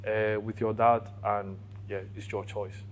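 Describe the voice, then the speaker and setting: A young man from Colombia, a podcast guest telling his life story in casual, animated talk. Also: lowish voice, unhurried, a little hiss or hum, poor audio. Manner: animated, laughs while telling stories.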